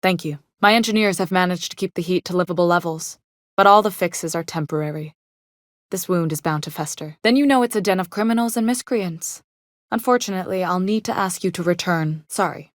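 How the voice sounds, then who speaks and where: An actress playing a capable, no-nonsense chief engineer and station captain in a video game, with a measured, dry, businesslike manner. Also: female voice, lowish voice, dry recording, lightly acted. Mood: earnest, businesslike. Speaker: game character voice. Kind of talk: video game character dialogue.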